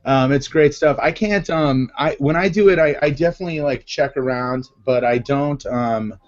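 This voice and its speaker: A man with a Massachusetts accent hosts a live video chat in an upbeat, chatty way, full of ums and quick asides. His voice sits at an ordinary pitch for a man. The audio is dull and lo-fi, like a video call. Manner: chatty, upbeat, many fillers. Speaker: live show host.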